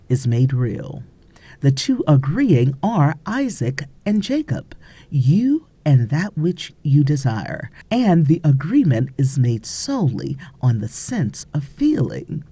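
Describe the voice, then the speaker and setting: Lady reading an audiobook, slow n mellow, measured teacherly delivery with pauses. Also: rumbling low, slightly rough, no room sound. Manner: measured, deliberate, instructive, pausing between phrases. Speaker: audiobook narrator. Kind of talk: scripted audiobook narration.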